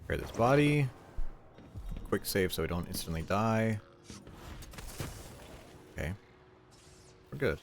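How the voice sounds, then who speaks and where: Streamer: man with a Mexican accent, sarcastic and self-mocking, low-ish voice. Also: lively intonation, slow, long pauses, a little hiss or hum. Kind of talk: casual gameplay commentary.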